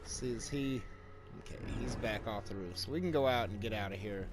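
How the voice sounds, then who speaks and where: A man's voice: on the low side, fairly quick. A man giving casual gameplay commentary as the host of a walkthrough. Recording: loud game music behind. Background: game music and sound effects.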